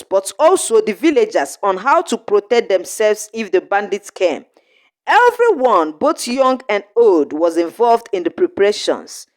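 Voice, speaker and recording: A woman narrating a scripted folktale in short, measured phrases with an earnest storybook delivery. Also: on the high side.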